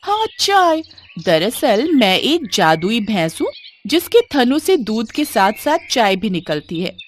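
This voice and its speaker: Friendly talking buffalo for a storybook, played by a woman; earnest, generous, very animated delivery. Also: on the high side, a little slow, a little hiss or hum, voice pushed up. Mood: grateful, generous.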